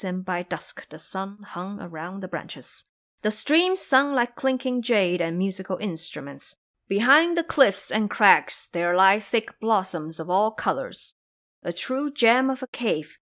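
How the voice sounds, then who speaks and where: Animated woman storyteller. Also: medium pitch. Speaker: narrator. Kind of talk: dramatic scripted storytelling.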